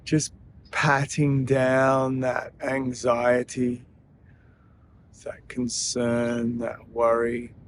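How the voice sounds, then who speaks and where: A man leading a guided breathing meditation in a soothing, gentle manner, leaving long pauses between phrases. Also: mid-range voice, completely flat delivery, a little hiss or hum.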